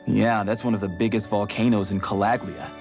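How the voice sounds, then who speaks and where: A man's voice: lowish voice, fairly even intonation, a little fast, a little mellow, soft background music, natural voice. A man voicing a down-to-earth, helpful and cautious local miner in a video game, talking plainly and colloquially. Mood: helpful warning. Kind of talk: video game cutscene acting.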